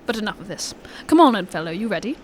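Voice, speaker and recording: A woman acting a shrewd male detective in an amateur audio drama, brisk and genteel with old-fashioned phrasing. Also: mid-range voice, very animated, a little mellow, natural voice. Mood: assured, wry. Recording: clearly noisy recording.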